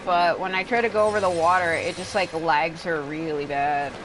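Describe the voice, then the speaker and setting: A woman's voice: lowish voice, fairly even intonation, moderate pace, noisy background. A woman livestreaming a video game with casual, rambling commentary, blunt and complaining, swearing freely.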